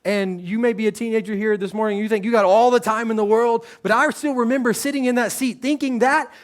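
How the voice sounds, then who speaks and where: High-voiced pastor preaching conversationally. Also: male voice, steady tone, fast. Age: 30s. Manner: conversational, joking with the congregation. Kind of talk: sermon. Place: church stage.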